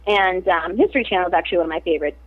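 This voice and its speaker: A woman's voice: fairly low pitch, rapid-fire. A woman actress in a casual interview, talking animatedly and laughing often. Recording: tinny phone-like line.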